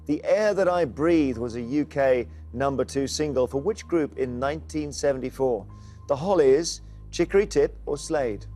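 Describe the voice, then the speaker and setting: A middle-aged man hosting a television quiz show, brisk and jokey as he reads out the questions. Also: mid-range voice, mellow, faint music behind.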